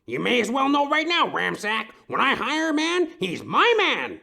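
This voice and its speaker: Creaky, blustering old businessman. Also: extremely high pitch, wildly swooping pitch, moderate pace, bright, forceful tone, heavily acted. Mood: barking, impatient. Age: 60s. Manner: barking, pompous, fussy. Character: blustering pompous businessman in his sixties, rude and impatient. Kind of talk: detective dramedy audio play acting.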